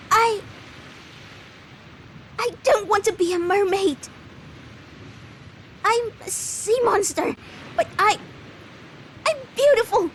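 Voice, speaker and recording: Casting: a vain, self-loathing sea monster, very high piercing female voice, theatrical and vicious, swinging widely. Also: a bit bright, slight background noise, exaggerated voice. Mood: desperate denial. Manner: vicious, theatrical shrieking and gloating. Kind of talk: visual novel dub, melodramatic voice acting.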